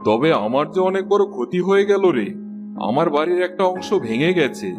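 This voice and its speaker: Man as higher-pitched village master, familiar manner. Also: lively intonation, brisk, music in the background, natural voice. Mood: contrite. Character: village master, once haughty, now conciliatory, familiar. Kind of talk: cartoon dialogue acting.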